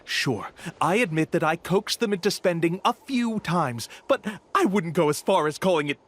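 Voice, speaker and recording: A man's voice: fairly high pitch, lively intonation, moderate pace, fairly bright tone, slight background noise. A man voicing a shifty, grudging swindler in a video game, hesitant and evasive in manner.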